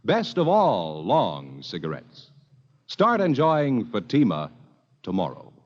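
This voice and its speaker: A man's voice: on the low side, wildly swooping pitch, takes it slowly, heavy background noise. An American man reading a 1950s radio commercial with an emphatic salesman's delivery. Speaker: commercial announcer. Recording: muffled vintage radio recording.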